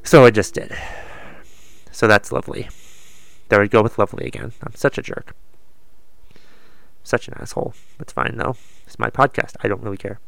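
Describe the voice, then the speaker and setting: Gravelly, congested man rambling on a podcast. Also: on the low side, moderate pace, long pauses, fairly bright tone, no echo. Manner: rambling, self-deprecating, swears casually. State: has a cold, slightly congested. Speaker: podcast host. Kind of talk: casual solo podcast monologue.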